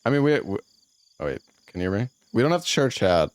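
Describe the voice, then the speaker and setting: A man with a deep, radio-ready voice, chatting in a laid-back, hesitant way over voice chat while gaming. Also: big pitch swings, fast talker, dry recording. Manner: laid-back, hesitant, trails off mid-sentence. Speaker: guest streamer in co-op game.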